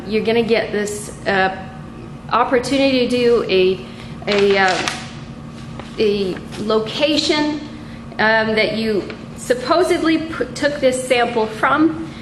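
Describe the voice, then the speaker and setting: A middle-aged woman instructor giving an unscripted, methodical lab demonstration in a halting way, picked up by a camera a few feet away in a small room. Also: fairly high pitch. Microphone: camera mic a few feet away. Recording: echoey room with clear background noise.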